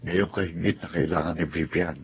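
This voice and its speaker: An actor playing a steady, supportive friend, speaking in a measured, consoling radio melodrama manner. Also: male voice, low-pitched, a little hiss or hum. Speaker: actor in a radio-style drama. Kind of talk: Filipino radio melodrama acting.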